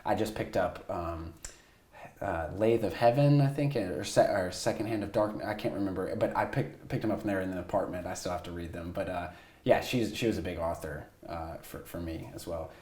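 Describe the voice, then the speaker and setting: A man's voice: sits low, brisk, a little mellow, reverberant. A man hosting an interview podcast, asking loose, rambling questions full of fillers. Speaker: podcast host. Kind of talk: casual interview.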